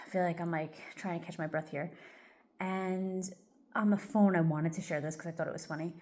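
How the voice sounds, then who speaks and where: Rambling woman with a lowish voice. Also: fairly even intonation, normal speed, a little mellow. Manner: rambling, loose, full of "like" and "um". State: a little congested, stuffy. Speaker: vlogger. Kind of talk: casual get-ready-with-me chat.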